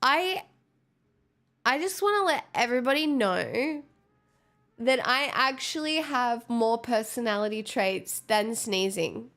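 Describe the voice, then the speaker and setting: A young woman with a cold talks to her stream in a slow, unhurried way, her voice sitting a bit high. She's congested and phlegmy, giggling and teasing herself as she chats. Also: animated, fairly bright tone. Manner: giggly, chatty, self-mocking. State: stuffed up with a cold. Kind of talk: casual chat with viewers.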